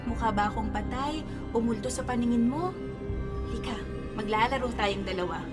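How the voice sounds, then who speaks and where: A low, distant-sounding undead young woman luring a child in a menacing murmur, put on by a woman. Loud scary music swells behind her. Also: moderate pace, lightly acted. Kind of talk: radio drama acting.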